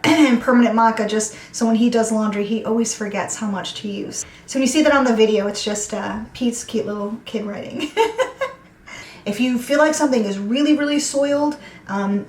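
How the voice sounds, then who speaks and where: A woman hosting a homesteading vlog, a bit hoarse from losing her voice, chatting in a friendly way and clearing her throat now and then. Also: on the high side, slight background noise. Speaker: homesteading channel host. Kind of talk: casual vlog intro to camera. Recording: echoey room.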